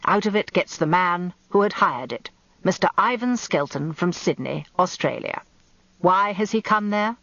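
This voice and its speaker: Woman playing old male barrister, shrewd and rhetorical, pausing for drama, radio courtroom speech, dry muffled sound. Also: lowish voice, animated, a bit bright, no echo. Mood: dramatic, building. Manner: measured courtroom oratory with dramatic pauses.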